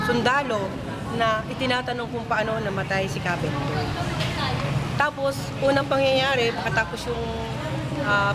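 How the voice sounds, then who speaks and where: A woman giving a spontaneous interview account, halting as she searches for words. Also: fairly high pitch. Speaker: human rights activist interviewee. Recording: very loud street and vehicle noise.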